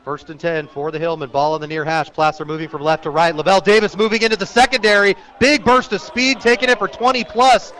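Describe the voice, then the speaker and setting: Male radio play-by-play sportscaster, fairly high-pitched, clipped descriptive delivery for live high school football. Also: fast talker, hardly any pauses. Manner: clipped descriptive phrases, excited on big plays. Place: outdoor stadium, live radio broadcast.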